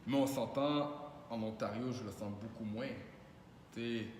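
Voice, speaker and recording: A man's voice: medium pitch, normal speed, echoey room, in a large hall, slight background noise. A man with a Quebec French accent, hosting a casual podcast chat and dropping English phrases into his talk. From Montreal, Quebec. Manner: relaxed, mixes in English phrases. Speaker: podcast host.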